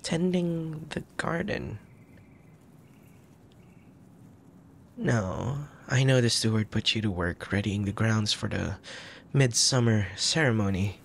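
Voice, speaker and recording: A man playing a soft, tender young crown prince in an intimate ASMR roleplay, speaking formally with a gentle, hesitant manner and a troubled air. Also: fairly low pitch, lively intonation, unhurried, vocal fry, a little hiss or hum, full character voice. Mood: concerned. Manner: formal old-fashioned wording, gentle, hesitant.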